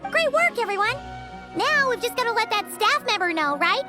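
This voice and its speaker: A woman voicing a tiny, cheeky, excitable fairy companion in a video game, squeaky and childlike, with music behind. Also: moderate pace. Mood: cheerful, proud. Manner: bubbly, exclamatory.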